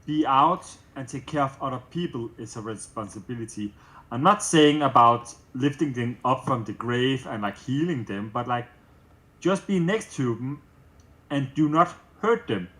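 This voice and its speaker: A man in a casual group chat, talking haltingly with many fillers and non-native phrasing. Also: mid-range voice, lively intonation, sharp tone, low-quality recording.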